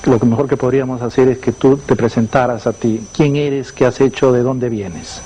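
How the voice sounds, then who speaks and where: A man's voice: medium pitch, steady tone, normal speed, warm tone, slightly rough, poor audio. A man putting semi-formal questions as an interviewer, feeling his way through each phrase and rewording as he goes.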